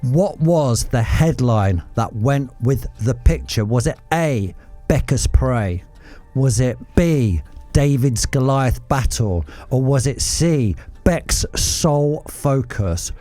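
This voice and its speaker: Male quiz host, mellow tone, playful and mock-officious, trading banter between football questions. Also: mid-range voice, animated, slow pace, faint music behind.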